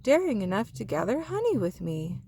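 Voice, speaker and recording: A woman voicing a gentle, encouraging father bear in a children's storybook, speaking tenderly. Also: medium pitch, big pitch swings, normal speed, slight background noise.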